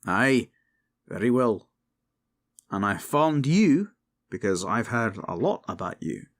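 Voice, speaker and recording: A man's voice: mid-range voice, very animated, takes it slowly. A man voicing a dour but generous dwarf king with a blunt, commanding way, in a fantasy audio drama. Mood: approving.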